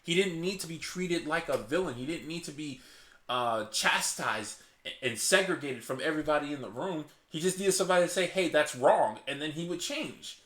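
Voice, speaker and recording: A man hosting his own channel, reasoning aloud in casual commentary. He is passionate and restarts often, and says his naturally loud voice is held low on purpose. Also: moderate pace, reverberant. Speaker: channel host. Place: hot indoor room.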